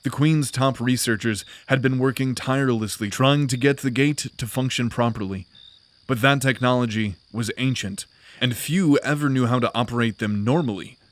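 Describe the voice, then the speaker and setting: A man narrating a scripted fantasy audio drama in a deliberate, grave storytelling manner. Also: medium pitch.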